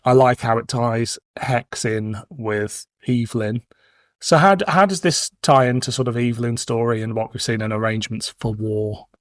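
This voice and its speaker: A man's voice: medium pitch, normal speed, dry recording, clean studio sound. A man co-hosting a podcast, discussing casually and putting questions to his co-host.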